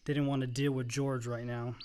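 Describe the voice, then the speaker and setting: An actor playing a dutiful but resentful son, matter-of-fact and curt, in a naturalistic radio play. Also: male voice, mid-range voice, flat delivery, normal speed, warm tone, clean tone, close to an everyday voice.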